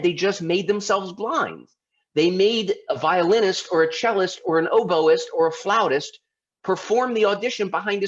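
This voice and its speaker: A man from Baltimore giving an informal presentation as a lawyer, talking conversationally with restarts and rhetorical questions. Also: on the high side. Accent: Baltimore, mostly suppressed. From Baltimore, United States. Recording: slightly dull lo-fi audio.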